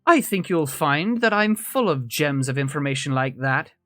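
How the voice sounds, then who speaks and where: A man's voice: a little high, animated, moderate pace, sharp tone, no echo, soft background music. A man voicing a dry, wry, seasoned female law officer in a tabletop game. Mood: playful.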